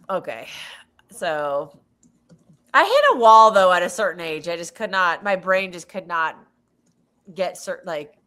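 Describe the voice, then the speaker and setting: A woman's voice: lowish voice, bright, forceful tone. An American woman co-hosting a live football watch-along, chatting casually with frequent restarts. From United States. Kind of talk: casual chat.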